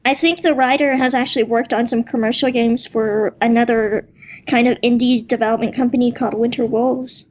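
Higher-pitched woman, slightly dull recording. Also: steady tone, brisk. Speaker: podcast panelist. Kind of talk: casual chat.

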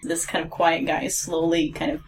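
A woman's voice: deep voice, completely flat delivery, normal speed. A woman, co-creator of an audio drama, chatting casually on a podcast, rambling and correcting herself as she talks and laughing often.

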